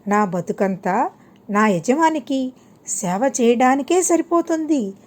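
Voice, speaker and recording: A friendly storybook donkey, voiced by a woman, wistful and a little envious of others. The voice is fairly high and mellow, and it speaks very slowly. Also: expressive. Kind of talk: children's storybook reading.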